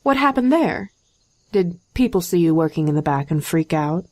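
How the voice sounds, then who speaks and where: A woman playing a polite, flattering, gently probing hostess in an audio comedy skit, coaxing as she talks. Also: lowish voice, normal speed, no echo, lightly acted. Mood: curious, polite.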